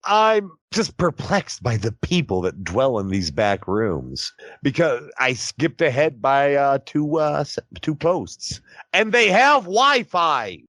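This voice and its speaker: A man with a medium-pitched, very lively intonation co-hosts a podcast in casual chat. His riffing is wry and crude, and the sound is close and dry with no room echo. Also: slow pace. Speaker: podcast co-host.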